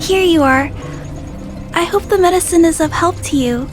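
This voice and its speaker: A small girl with a very high voice, shy, trusting and earnest. She speaks politely and haltingly, as in a game cutscene, with music clearly underneath. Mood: gentle, earnest. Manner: soft-spoken, hesitant, polite, stammering. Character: gentle, timid little girl, earnest and trusting. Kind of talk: video game cutscene voice acting.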